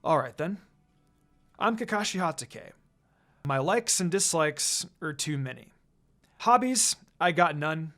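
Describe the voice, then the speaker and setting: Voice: medium pitch, lively intonation, measured pace, pauses often, a bit bright, faint music behind. A reader voicing a lazy, aloof ninja teacher. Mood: offhand.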